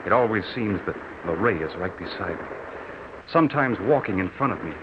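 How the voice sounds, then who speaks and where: A man's voice: fairly low pitch, lively intonation, fairly quick, close to an everyday voice. A man playing an earnest, devoted young soldier in a solemn, sincere style of old radio drama. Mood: quietly confident. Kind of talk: 1940s radio drama acting, narration and dialogue. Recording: muffled, noisy old radio recording.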